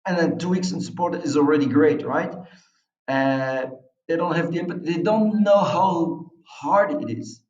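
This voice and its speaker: Roomy, distant-sounding Belgian man, higher-pitched voice. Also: moderate pace, darkish tone, dull audio. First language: not English. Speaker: interview guest, expedition adventurer. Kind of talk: conversational interview, recounting experiences.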